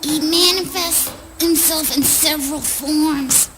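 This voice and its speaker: Woman playing a high-voiced scornful old crone. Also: fairly even intonation, very noisy. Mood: cryptic, sly. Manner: taunting, theatrical, unhurried. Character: scornful sly old crone scavenging river corpses. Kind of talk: spoken word play acting.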